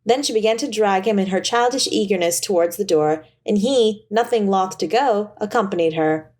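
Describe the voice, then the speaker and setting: A woman reading a scripted storybook as narrator, in an expressive, theatrical way. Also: mid-range voice, normal speed. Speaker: audiobook narrator.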